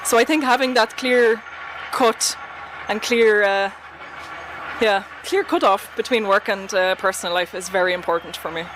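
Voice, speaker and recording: A woman's voice: medium pitch, moderate pace, background noise. A woman with an Irish accent, answering interview questions in a direct, confident way. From Ireland. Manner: direct, confident, advice-giving. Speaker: guest.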